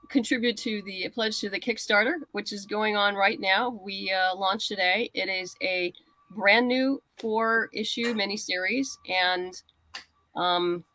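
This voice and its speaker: Female guest creator, conversational and explanatory, slightly halting delivery, middle pitch, dry recording. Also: pushed and bright, no room sound, rough recording. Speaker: guest writer and comics creator. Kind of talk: casual interview chat.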